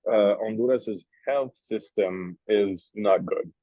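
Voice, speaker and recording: Swiss man, lunch-interview guest, low voice, rambling and chatty, muffled dry sound. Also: takes it slowly, mellow, dry recording. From Switzerland. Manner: rambling, with restarts and long asides. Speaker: interview guest, cigar maker. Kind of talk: casual lunch chat. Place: restaurant at lunch.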